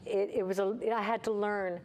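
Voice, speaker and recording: A woman's voice: mid-range voice, flat delivery, normal speed, darkish tone, slight background noise. A woman in her fifties, a singer-songwriter and vocal coach, talking candidly and chattily as a guest in a casual interview.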